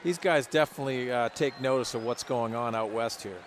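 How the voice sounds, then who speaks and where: A man's voice: mid-range voice, a little fast. An American man giving live baseball analysis on radio, explaining plays conversationally and rephrasing as he goes. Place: stadium broadcast booth. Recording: noisy stadium crowd behind. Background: ballpark crowd and stadium ambience.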